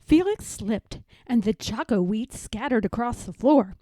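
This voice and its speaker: A woman reading a children's picture book aloud in an expressive, deliberate storytelling style. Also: on the high side, no room sound.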